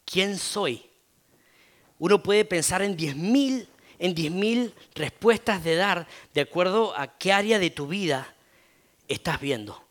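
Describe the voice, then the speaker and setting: A middle-aged pastor with an Argentine Spanish accent preaches in a relaxed, talky way, leaning on fillers and tag questions. His voice sits high for a man. He takes his time, speaking at an unhurried pace. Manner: conversational, frequent filler "eh" and tag "¿no?". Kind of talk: sermon.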